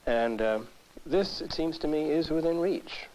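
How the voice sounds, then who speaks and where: A man giving a thoughtful, deliberate interview answer as a scientist, pausing and hesitating as he explains. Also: on the high side, lively intonation, a little mellow. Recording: faintly noisy 1960s film soundtrack.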